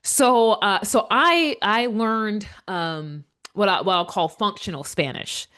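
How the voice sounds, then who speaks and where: Guest type: woman coach, earnest, conversational, trips and restarts, mid pitch. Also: slow, fairly bright tone, clear, resonant tone. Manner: earnest, restarts often, repeats words. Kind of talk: casual interview chat.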